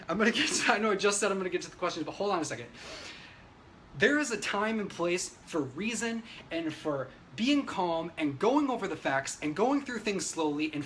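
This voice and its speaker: High-voiced man on a brash livestream rant. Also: fairly bright tone. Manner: brash, opinionated, frequent swearing; emphatic, agitated delivery. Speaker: livestreamer giving political commentary. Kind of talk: unscripted ranting livestream, reading viewer comments. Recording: noisy livestream audio.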